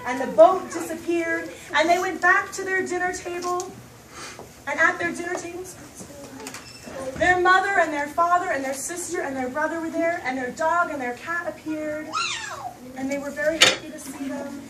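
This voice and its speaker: A woman's voice: extremely high pitch, steady tone, normal speed, background noise. A woman telling an interactive story to young children in a theatrical manner, prompting them to act along. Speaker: storyteller leading a children's puppet show.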